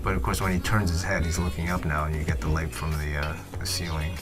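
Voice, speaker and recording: A man presenting an unscripted digital art tutorial voice-over, thinking aloud in a hesitant, halting way. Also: a bass voice, fairly even intonation. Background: guitar music.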